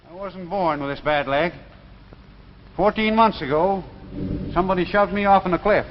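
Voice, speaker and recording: A man's voice: sits high, moderate pace, close to an everyday voice. An actor playing an insistent, haunted old backwoods man with a folksy rural American dialect in a 1950s sci-fi drama. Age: older. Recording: noisy, muffled 1950s television soundtrack.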